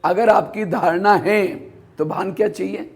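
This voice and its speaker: A man's voice: high voice, unhurried, gravelly. A man giving a spiritual class, telling anecdotes and asking rhetorical questions that he answers himself. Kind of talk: Hindi spiritual discourse.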